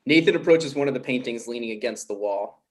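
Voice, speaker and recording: A man hosting a table read and narrating stage directions in an informal, somewhat halting way. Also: medium pitch, lively intonation.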